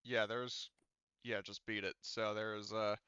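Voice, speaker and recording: A man's voice: fairly low pitch, level tone, measured pace, bright, forceful tone, clean tone, no room sound. A man running a tabletop game as game master, narrating casually and informally with some swearing, on slightly dull audio.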